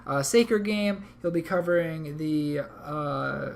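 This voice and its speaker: A man co-hosting a league recap, talking through a match in a rambling, self-correcting way, a little hoarse from a cold. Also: a little high, slow. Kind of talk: casual game recap commentary.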